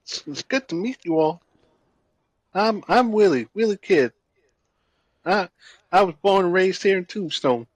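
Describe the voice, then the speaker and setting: A man playing his character in a tabletop game, talking in an excited, animated way. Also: sits high, a little slow, long pauses, breathy edge, no echo. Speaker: player.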